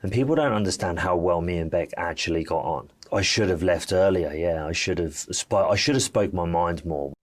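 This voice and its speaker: A man's voice: deep voice, moderate pace, hardly any pauses. A man speaking casually as a reality TV interview guest, candid and colloquial, retelling conversations as he goes. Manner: candid, colloquial storytelling with quoted dialogue.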